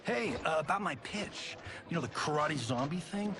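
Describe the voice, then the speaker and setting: An actor playing a cocky, vain middle-aged action movie star with glib, showy patter in a video game cutscene. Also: male voice, a little high, animated, moderate pace. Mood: excited.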